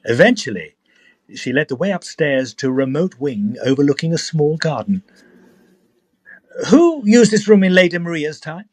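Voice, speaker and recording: A man playing a brisk, sceptical gentleman ghost investigator who narrates a period audio drama with dry pomposity. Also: a little high, lively intonation. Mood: brisk, commanding.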